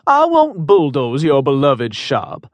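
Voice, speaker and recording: A man's voice: on the high side, huge pitch swings, takes it slowly, no echo, heavily acted. A man reading aloud and voicing a bitter, threatening grieving father. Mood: menacing. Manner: menacing, scornful.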